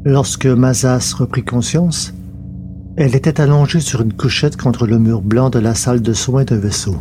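A man's voice: mid-range voice, fairly even intonation, normal speed, noisy background. A man reading scripted narration for an audio story, as its narrator. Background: loud music.

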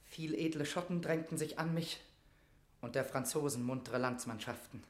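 A man's voice: a little high, normal speed, close to an everyday voice. Man playing fervent young nobleman in echoing room. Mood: exalted. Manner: declamatory, impassioned, solemn. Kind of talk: classic German verse drama, declaimed radio acting.

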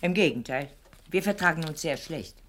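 An imperious older aunt in a vintage radio play. Her voice is low and swings widely in pitch. She speaks deliberately, with dry, calculating sharpness. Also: animated, fairly bright tone, slight background noise. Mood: cold, calculating. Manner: deliberate, dry, pointed, formal. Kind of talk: 1960s German radio play acting.

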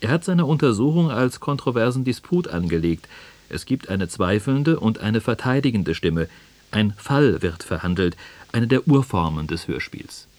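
A man with a fairly low voice acts as a radio host. He reads a prepared introduction and addresses the listeners in a scripted presenting style. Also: lively intonation, moderate pace, darkish tone.

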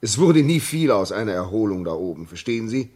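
An actor playing a brooding, dutiful railway signalman in a 1950s German radio drama, speaking gravely and haltingly. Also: lowish voice, big pitch swings, darkish tone. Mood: reflective, resigned. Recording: muffled-edged 1950s radio drama recording.

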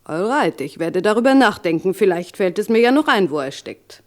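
An actress playing a wary, money-minded landlady in a German radio crime drama, indignant and deliberate in her delivery. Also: mid-range voice, very animated, close to an everyday voice. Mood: calculating. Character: defiant, wary, money-minded female boarding house landlady.

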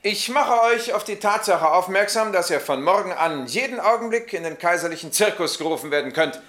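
An actor in a radio drama playing a stern Roman army captain who makes formal, clipped, coolly ironic pronouncements. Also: male voice, sits high, lively intonation, a little fast, fairly bright tone. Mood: commanding.

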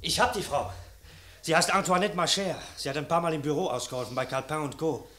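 Huffy eager junior cop done by a man, talks really fast, highish voice, old radio crime play. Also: fairly bright tone, natural voice. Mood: dutiful, brisk. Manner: brisk, officious. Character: huffy, eager subordinate male police officer. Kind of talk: vintage German radio crime play acting.

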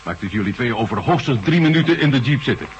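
An actor playing a curt, commanding military marshal in a radio drama. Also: male voice, mid-range voice, animated, quick pace, lo-fi sound, slight background noise, natural voice. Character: stern commanding military marshal, adult man.

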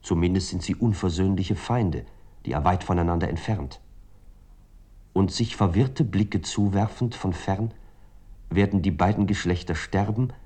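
A man's voice: low voice, moderate pace, warm tone. An actor playing a reflective writer, reading his notes in a grave, contemplative and formal way for a radio play. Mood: grave, pondering.

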